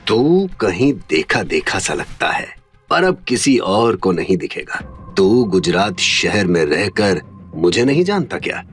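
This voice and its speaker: A man's voice: sits low, very animated, a little slow, breathy edge, soft background music, exaggerated voice. A man playing a menacing, contemptuous gang boss in his fifties, imperious, in an audio drama. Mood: cold menace. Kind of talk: Hindi audio drama acting.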